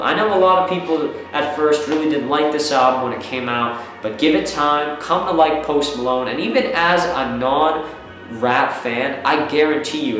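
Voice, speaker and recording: Young male reviewer, stuffy and congested, chatty and rambling, very echoey room, music behind. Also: medium pitch. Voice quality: slightly rough voice. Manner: rambling, repeats words and restarts, upbeat. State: congested, has a cold. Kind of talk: casual video review.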